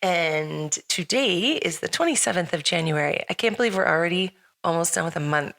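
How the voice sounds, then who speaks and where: A middle-aged woman with a slightly hoarse, raspy voice hosts a casual podcast chat, rambling with lots of fillers and frequent laughs. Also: lowish voice, expressive, fairly quick, fairly bright tone. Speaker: podcast host.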